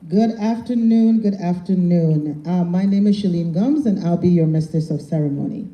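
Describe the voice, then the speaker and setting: A woman's voice: lowish voice, normal speed, highs cut off. A woman speaking as master of ceremonies, giving a formal introduction at an event. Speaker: event emcee. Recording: distant, echoey, noisy event recording.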